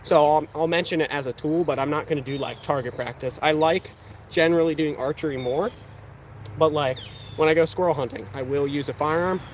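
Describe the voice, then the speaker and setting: Young Pennsylvania man, slightly high voice. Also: a little fast. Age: young adult. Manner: chatty, answers viewer questions while shooting. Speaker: outdoors channel host. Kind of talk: casual livestream chat. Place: outdoors. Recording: faint outdoor background noise.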